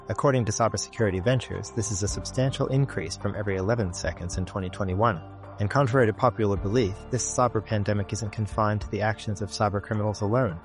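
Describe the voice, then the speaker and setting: A man reading a scripted documentary promo in a serious, announcing delivery. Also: fairly low pitch. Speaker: promo narrator. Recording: voice-over over loud music.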